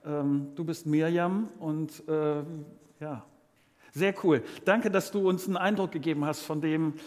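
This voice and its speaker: A man hosting an informal live interview as a pastor, hesitant and often searching for words in halting phrases. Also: a little high, steady tone, a little mellow. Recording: long hall reverb.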